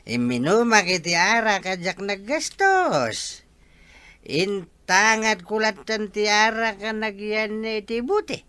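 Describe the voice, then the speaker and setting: A slurring old drunkard of a husband, acted out by a man, in a radio drama. He drags his words slowly and swings up and down in pitch, defiant and jokey, in a dry close recording. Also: lively intonation, sharp tone, clear, resonant tone, dry recording, exaggerated voice. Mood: drunken, defiant. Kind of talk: Filipino radio drama acting.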